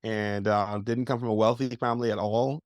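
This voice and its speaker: A man's voice: lowish voice, steady tone, normal speed, no room sound, studio quality. A young man, a podcast guest telling his story in an eager, rambling way, full of fillers. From Bahamas. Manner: eager, rambling, heavy use of like and you know. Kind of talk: casual interview storytelling.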